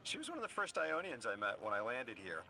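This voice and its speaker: Game character lost in time, halting, lively pitch, music underneath. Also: male voice, gravelly, exaggerated voice, low-quality recording. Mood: folksy. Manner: anguished and halting when grieving, folksy and rambling when relaxed. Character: bewildered man displaced centuries from his time. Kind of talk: video game cutscene acting.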